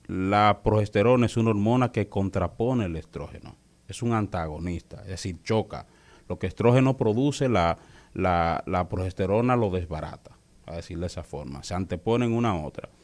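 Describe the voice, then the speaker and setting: Guy, low voice, doctor on an interview explaining stuff, hesitates and repeats himself. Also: a little mellow. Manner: halting, didactic, repeats words.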